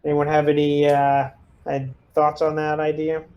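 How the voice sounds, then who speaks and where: Slightly high voiced guy playing a tabletop rpg, thinking out loud, casual and hesitant. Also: completely flat delivery, moderate pace, muffled recording. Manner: informal, hesitant, thinking aloud. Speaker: player in a tabletop roleplaying game. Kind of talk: casual in-character table talk.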